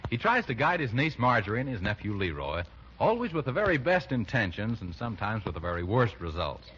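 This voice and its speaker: A man's voice: fairly low pitch, wildly swooping pitch, fairly quick, husky. A man announcing and narrating a 1940s radio show from a script, with polished, emphatic delivery. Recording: dull old radio broadcast, loud music. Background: loud theme music.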